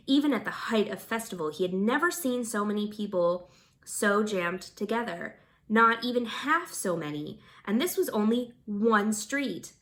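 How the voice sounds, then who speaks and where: A woman reading a fantasy novel aloud as a volunteer narrator, expressive and informal, with the odd stumble. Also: medium pitch. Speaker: audiobook-style volunteer reader.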